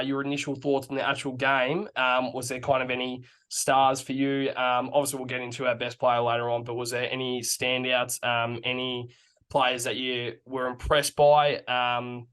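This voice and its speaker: A young Australian bloke hosting a footy chat podcast. His voice is slightly croaky from yelling, and he talks in a loose, casual way full of ums and the odd swear word. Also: medium pitch, moderate pace. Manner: relaxed, swears casually, many fillers. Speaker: podcast co-host.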